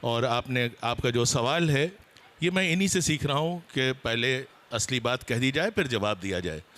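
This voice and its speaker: A man's voice: medium pitch, lively intonation, normal speed, a bit bright. A man giving an explanatory talk as a panelist at a seminar, checking that listeners follow.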